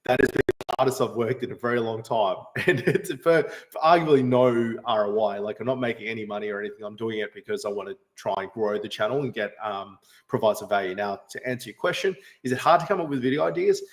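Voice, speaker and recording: A man's voice: fairly low pitch, fast talker, low-quality recording. Rambling man hosting a livestream. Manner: rambling, frequent fillers and restarts. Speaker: livestream host answering chat. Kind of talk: casual live chat.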